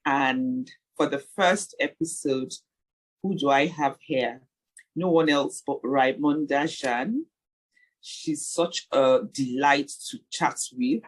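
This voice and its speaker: Woman podcast host, bit raspy, slow n hesitant, pauses a lot while chatting. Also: rumbling low, low-quality recording. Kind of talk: podcast interview chat.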